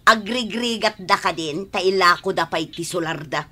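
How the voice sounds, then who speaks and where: A woman's voice: fairly low pitch, moderate pace, sharp tone. A woman with an Ilocano accent playing a proud, argumentative mother in a radio drama. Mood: scornful. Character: proud, scornful, doting mother, quick to argue.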